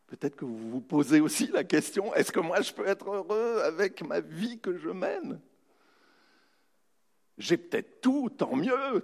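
A man giving a humorous, anecdotal motivational talk, telling his story with dramatic pauses. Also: a little high, a little mellow. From raised bilingual French-German, in a French-German family. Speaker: motivational speaker. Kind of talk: anecdotal public talk to an audience.

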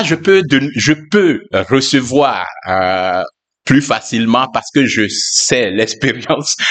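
A man with a mid-range voice tells his story as a pastor sharing a personal testimony. He speaks reflectively and hesitantly, pausing often with fillers as he searches for words. His pitch sits in the ordinary middle for a man. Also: fairly bright tone.

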